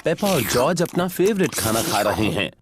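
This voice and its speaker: A man's voice: mid-range voice, big pitch swings, normal speed, over music. A man reading scripted narration for a children's cartoon as its narrator.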